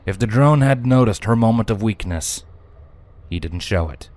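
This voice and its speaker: A man narrates a fantasy story in a low-pitched voice. His reading is scripted and dramatic, with a solemn, deliberate delivery. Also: lively intonation, darkish tone, no room sound.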